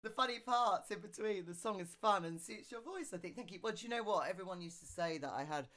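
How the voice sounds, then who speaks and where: Chatty low-voiced woman streaming from home. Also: expressive, fast. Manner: chatty, mutters quietly to herself while reading chat. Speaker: singer hosting a live request stream. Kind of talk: casual chat to viewers. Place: home music room, indoors. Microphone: studio condenser mic on boom arm, wearing headphones.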